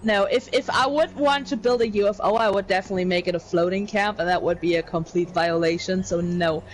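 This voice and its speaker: A woman's voice: medium pitch, fairly quick, low-quality recording. Woman, casual chat, hesitant rambling explanations, slight background noise. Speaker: player presenting own game build.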